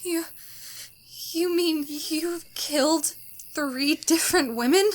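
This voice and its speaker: A woman's voice: high-pitched, takes it slowly. An actress playing a frightened, timid young woman in a radio thriller, anxious, breathless and stammering. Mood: uneasy. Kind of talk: old-time radio thriller acting.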